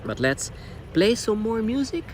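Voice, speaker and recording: A man's voice: high-pitched, very animated, darkish tone. A man telling stories as a tour guide, in simple, repeated phrases, outdoors on the water. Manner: simple phrasing with deliberate repetition. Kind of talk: storytelling tour commentary. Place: outdoors on a small boat on the water. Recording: clear outdoor noise, water sounds.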